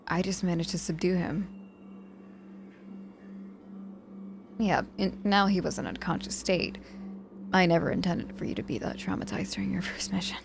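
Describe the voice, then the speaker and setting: Girl voice acting a cocky witch mentor going soft, lowish voice, dry and protective, hesitant, asmr roleplay w some background noise. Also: close to an everyday voice. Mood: apologetic, confiding. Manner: dry teasing turning apologetic and protective, hesitant pauses. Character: cocky but softening witch mentor, injured in hospital.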